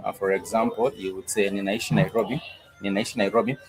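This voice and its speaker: A man's voice: lowish voice, steady tone, fast talker, over music, low-quality recording. A man teaching a live online language class, patiently repeating each phrase several times.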